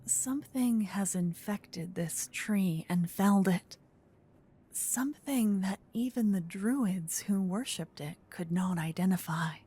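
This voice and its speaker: A woman softly narrating a scripted fantasy ASMR story, breathy and often close to a whisper on a binaural mic. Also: mid-range voice, takes it slowly. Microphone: close binaural microphone.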